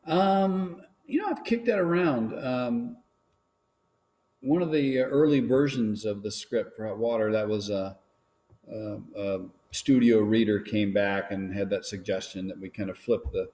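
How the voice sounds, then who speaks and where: Male guest, low register, loose rambling delivery, casual stream chat. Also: measured pace. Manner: relaxed, rambling, many ums and restarts. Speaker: filmmaker guest.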